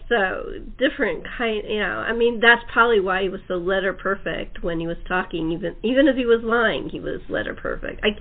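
A woman's voice: medium pitch, brisk, hardly any pauses. A woman hosting a casual interview, chatty and given to repeating phrases for emphasis. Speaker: talk show host. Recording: tinny narrow phone-like line.

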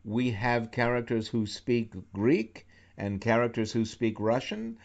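A man's voice: medium pitch, expressive, a little slow, gravelly, darkish tone. A man hosting a talk radio show, asking long, rambling, thoughtful questions with many restarts. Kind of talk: radio interview, conversational questions. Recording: slightly dull lo-fi radio sound.

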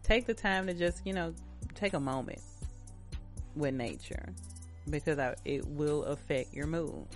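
A woman's voice: low-pitched, expressive, a little slow, long pauses, soft background music. A woman hosting a casual self-care talk, chatting directly to her listeners in an encouraging, repetitive way, a little stuffy-nosed from allergies. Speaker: host.

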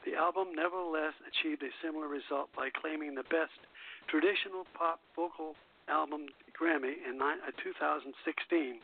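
A man hosting a radio music show, reading a scripted artist biography in a halting way with stumbles and self-corrections. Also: on the high side, steady tone, vocal fry, breathy edge. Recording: narrow, tinny phone-like radio sound.

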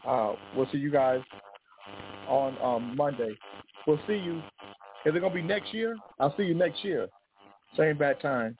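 A man hosting a radio show, talking conversationally in a rambling, philosophical way with pauses. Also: a little high, lively intonation. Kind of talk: show sign-off monologue, conversational. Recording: tinny phone-like audio, music behind.

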